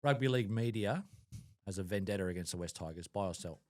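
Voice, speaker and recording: A man hosting a casual sports podcast, briskly steering the discussion. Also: low voice.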